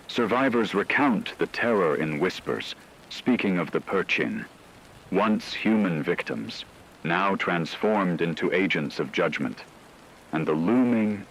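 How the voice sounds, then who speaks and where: A man playing a radio newsman who gravely reports a supernatural horror in formal, ominous scripted narration. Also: low voice, fairly bright tone, slight background noise, full character voice, poor audio.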